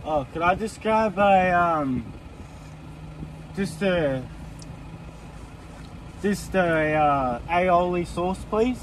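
A man's voice: sits high, animated, extremely slow, pauses often, poor audio. A young man with an Australian accent hesitantly placing a drive-through order from inside a car. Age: young adult. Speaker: prank customer. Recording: car interior with faint noise.

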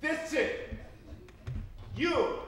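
A man's voice: very high voice, lively intonation, extremely slow. A man performing a live improv comedy scene as a fed-up, bossy customer, recorded from a distant mic on a small theater stage. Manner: loud, exasperated, bossy. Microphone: distant room mic. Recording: echoey stage from far off.

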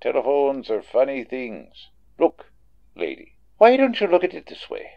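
An actor playing an unhurried, patronizing police desk sergeant in an old-time radio drama, placating and skeptical. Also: male voice, medium pitch, huge pitch swings, vocal fry, dull audio. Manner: placating, condescending.

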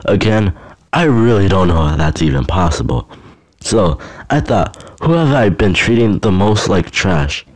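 A teenage boy vlogging a roast in a flat, monotone, deadpan voice with dry sarcasm. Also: lowish voice, no room sound, low-quality recording. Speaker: YouTuber. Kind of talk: casual roast vlog narration.

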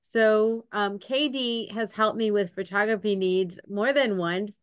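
A woman's voice: mid-range voice, measured pace, smooth, no room sound, lo-fi sound. A middle-aged woman hosting a podcast interview over a video call, upbeat and reading her guest's bio aloud. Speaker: podcast host.

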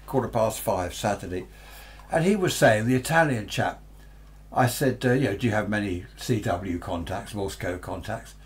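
Older English man, low rambling voice. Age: 60s or older. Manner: rambling, chatty, muses aloud to himself. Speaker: radio hobbyist presenter. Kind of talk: casual unscripted product review. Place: small cluttered indoor radio workshop. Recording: noticeable background noise with hum.

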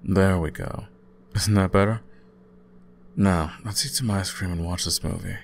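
A young man playing an easygoing, caring student roommate in an intimate ASMR audio roleplay, talking casually with gentle teasing. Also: sits low, fairly even intonation, unhurried, vocal fry, slight background noise. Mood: gentle, reassuring. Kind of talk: intimate ASMR boyfriend-style roleplay, conversational acting.

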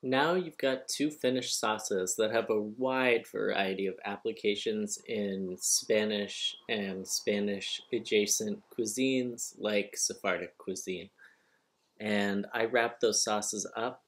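A young man giving a calm, step-by-step cooking lesson as voice-over. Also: fairly low pitch, slow pace. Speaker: cooking teacher. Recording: distant echoey room with noise, video call.

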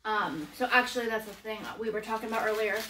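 A woman's voice: fairly high pitch, fairly even intonation, quick pace, bright, forceful tone, slight background noise. A woman in her early thirties, co-hosting a casual eating-show chat, candid and full of "you know" and "like". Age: early 30s. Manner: chatty, candid, many "you know" and "like" fillers. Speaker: co-host. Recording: echoey room.

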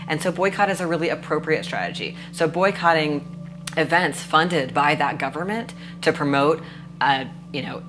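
A young woman activist explaining her position in an emphatic interview style. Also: low voice. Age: young adult. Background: music.

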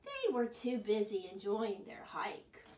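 A woman in her sixties presenting a children's storytime, expressive and often prompting the children with questions. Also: mid-range voice, unhurried, a little hiss or hum. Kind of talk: children's storytime, singsong chanting and read-aloud. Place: small furnished living room, indoors. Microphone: camera a few metres away. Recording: roomy, distant camera microphone.

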